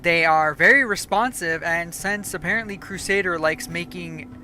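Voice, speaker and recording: A woman's voice: fairly low pitch, normal speed, pushed and bright, a little hiss or hum. A woman streaming a game, chatting casually and rambling, correcting herself as she goes, her voice starting to go. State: losing her voice. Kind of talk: casual live gameplay chat.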